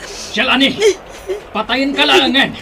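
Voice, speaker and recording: A woman playing a relentless, taunting pursuer shouting threats in a radio drama, with a Filipino accent. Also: high voice, fairly even intonation, slow, vocal fry, a crowd in the background, heavily acted. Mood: menacing.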